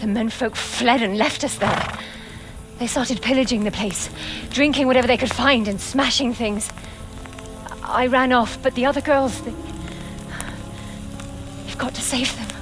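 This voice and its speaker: Woman doing a panicky young village girl, high voice, begging, game cutscene with music under it. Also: measured pace, pauses often, close to an everyday voice. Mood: frightened, pleading. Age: young adult. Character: terrified, pleading young peasant village woman. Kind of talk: video game cutscene acting.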